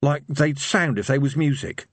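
A man voicing a small, gruff, sentimental villain, a dark lord in an audiobook, with a hoarse voice. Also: mid-range voice, normal speed, no echo, pitched above the performer's own voice, lightly acted. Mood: gruff, moved. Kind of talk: audiobook character voice.

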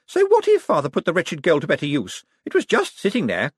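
Man putting on a high, petulant rich woman. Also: huge pitch swings, fairly quick, husky, no echo, clean studio sound, full character voice. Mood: gleeful, defiant. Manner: gushing, dismissive, self-righteous. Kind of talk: audiobook character acting.

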